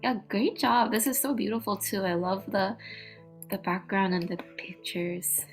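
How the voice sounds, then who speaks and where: A woman's voice: mid-range voice, unhurried. A woman with a mid-range voice gives casual feedback as a teacher. She talks informally and effusively, with lots of "like", and faint music sits behind her. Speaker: guest teacher. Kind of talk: casual spoken feedback to students.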